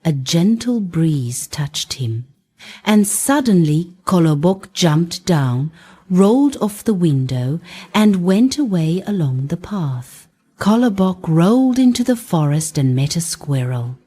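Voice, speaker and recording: A woman narrating scripted fairy tales for young children, speaking gently in short, simple phrases. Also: fairly low pitch, big pitch swings, slow pace, breathy edge. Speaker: children's bedtime storyteller.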